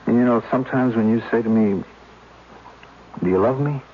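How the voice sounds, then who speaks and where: An American man playing an earnest, humorless, tender policeman husband in a 1970s radio drama, speaking plainly and haltingly. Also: medium pitch, natural voice. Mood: confessional, uneasy. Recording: dull, noisy old radio broadcast.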